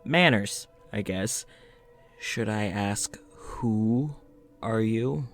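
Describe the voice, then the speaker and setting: A man's voice: lowish voice, drawn-out words, soft background music. A man playing a polite, uneasy scientist in an audio drama, speaking in a reflective, hesitant, conversational way, as if into a journal. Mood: awkward, startled. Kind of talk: audio drama monologue and dialogue.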